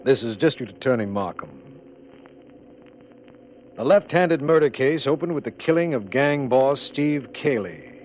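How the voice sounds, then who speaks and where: Calm prosecutor male actor, medium pitch, authoritative, businesslike, muffled old radio drama. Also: natural voice. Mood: matter-of-fact. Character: businesslike, authoritative district attorney, calm and official. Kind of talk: old-time radio drama acting and recap narration. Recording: dull, noisy vintage radio recording.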